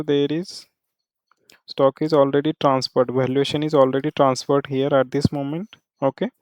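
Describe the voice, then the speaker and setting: Man, software trainer, smooth clear voice, little pitch movement, halting step-by-step walkthrough, frequent check-in tags. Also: no room sound. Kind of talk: live screen-share software walkthrough.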